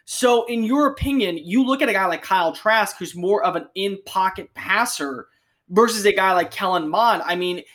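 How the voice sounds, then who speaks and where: A man's voice: sits high, normal speed, fairly bright tone. An American man hosts a sports podcast. He talks conversationally to his listeners and guest, in the manner of a regular presenter.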